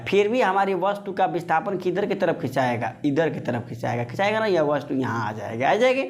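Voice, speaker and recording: A man giving an informal physics lesson, repeating phrases and posing questions that he answers himself, addressing listeners as friends. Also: high-pitched. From India. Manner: conversational, repeats phrases, poses and answers his own questions. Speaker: physics teacher.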